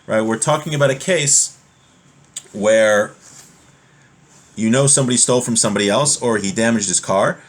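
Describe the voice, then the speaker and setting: A man's voice: lowish voice, normal speed, pauses often. A man giving an explanatory class on religious law, reading from a text and restating each point in a didactic way. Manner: didactic, repeats and rephrases points with examples. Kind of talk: explanatory text study, reading passages aloud then explaining.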